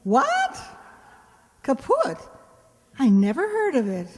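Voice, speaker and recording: Woman acting soothing sensible young woman, unhurried, very animated pitch, evasive, radio comedy, hall reverb. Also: full character voice. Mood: concerned, puzzled. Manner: coaxing, stalling delivery. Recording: hall reverb on stage-like recording.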